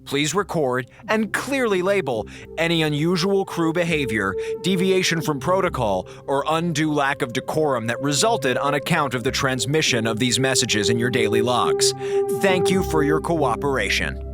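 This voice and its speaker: Fed-up space station comms officer, sarcastic and dramatic, really animated, music under him. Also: male voice, a little high, normal speed. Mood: incredulous reading. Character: exasperated, wisecracking male space station communications officer. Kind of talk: audio drama acting.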